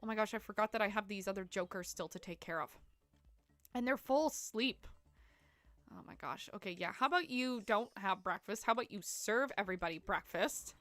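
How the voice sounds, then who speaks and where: A young woman streaming a game, her voice stuffy and congested from a cold. She chats casually, giggles often and fills gaps with ums and likes. Her tone is bright and forward, and the sound is close and dry. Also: medium pitch, lively intonation, brisk, dry recording. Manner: chatty and giggly, many fillers. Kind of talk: casual live-stream gameplay chat.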